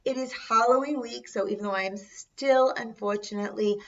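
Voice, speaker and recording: A woman with a raspy, congested voice hosting a video, chatting casually to viewers with conversational, emphatic asides. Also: on the high side, brisk. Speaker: host of a video channel. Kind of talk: casual chat to viewers, recounting film trivia. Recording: distant mic, dull lo-fi sound.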